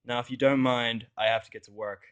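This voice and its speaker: A man voicing a sullen, irritable human man in a fan fiction audio story, speaking with deadpan sarcasm. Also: mid-range voice, a little fast, smooth, close to an everyday voice. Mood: irritated.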